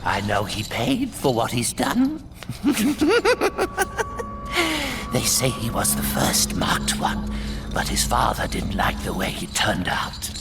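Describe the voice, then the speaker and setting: An actor playing a crazed old prisoner in a video game, muttering erratically in a breathy, cackling voice. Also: male voice, on the low side, wildly swooping pitch, a little slow, music in the background, heavily acted. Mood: confiding, rambling. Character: crazed old male prisoner obsessively keeping a record. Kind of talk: video game character acting.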